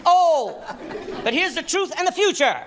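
Animated older male stand-up comic. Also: very high voice, normal speed. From New York City, United States. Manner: emphatic, animated, performing to a crowd. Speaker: stand-up comedian. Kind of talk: stand-up comedy. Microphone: stand microphone on stage. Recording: noisy comedy club with audience.

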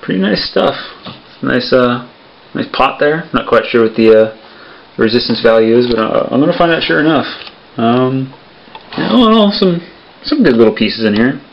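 A man's voice: medium pitch, normal speed, gravelly. A young man casually showing off electronics bits at his home workbench, rambling with lots of fillers, recorded on the camera's own mic. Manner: relaxed, rambling, many fillers. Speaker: electronics hobby vlogger. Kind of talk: casual show-and-tell vlog. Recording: dull lo-fi camera audio with faint hiss.

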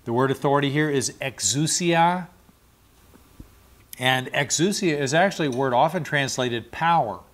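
A man's voice: medium pitch, normal speed, a bit bright. A middle-aged man giving a verse-by-verse Bible study, explaining and repeating Greek words, seated in a small studio with a lapel mic. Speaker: Bible teacher.

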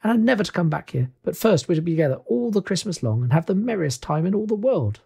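A man's voice: sits high, big pitch swings, fast talker, vocal fry, darkish tone, husky, pitched above the performer's own voice, full character voice. A man voicing a tiny, affectionate little girl who is gleeful and eager, in a storybook reading. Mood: gleeful, loving.

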